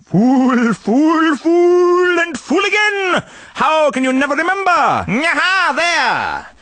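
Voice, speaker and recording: An actor playing a mad old desert hermit in a comic video game, ranting and rambling erratically. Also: male voice, very high-pitched, huge pitch swings, slow pace, bright, forceful tone, no echo, heavily acted. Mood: boastful, scatterbrained. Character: mad old desert hermit, addled, shouting at imaginary friend.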